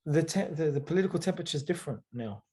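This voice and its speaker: A man from the UK, speaking thoughtfully in a conversational interview, often pausing with fillers and checking in with "right?". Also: fairly high pitch, expressive, dark tone. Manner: thoughtful, hesitant, with frequent fillers and rhetorical "right?". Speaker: author and guest.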